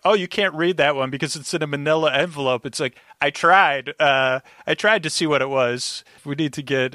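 A man's voice: medium pitch, fairly bright tone, no room sound, clean studio sound. A man chatting casually as a podcast guest, rambling as he thinks aloud. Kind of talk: casual chat.

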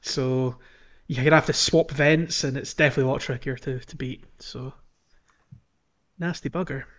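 A man's voice: medium pitch, fairly even intonation, moderate pace, fairly bright tone. A man hosting a casual gaming podcast, talking in a rambling, explanatory way. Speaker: podcast host. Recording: dull lo-fi sound.

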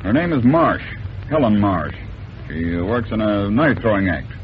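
An actor playing a discreet, uneasy theater manager in an old radio crime drama, speaking formally and hesitantly. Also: male voice, on the low side, sing-song, moderate pace. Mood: grave, explanatory. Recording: dull, noisy vintage radio recording.

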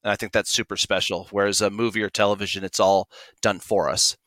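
A man's voice: fairly low pitch, level tone, quick pace, a bit bright, dry recording. A man in his forties speaking conversationally as an interview guest, explaining his creative work with enthusiasm. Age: 40s. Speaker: guest, audio drama producer.

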